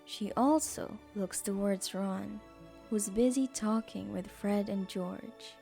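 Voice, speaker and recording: A woman's voice: mid-range voice, slow, gravelly, warm tone, faint music behind. A woman narrating a scripted story for an audio drama.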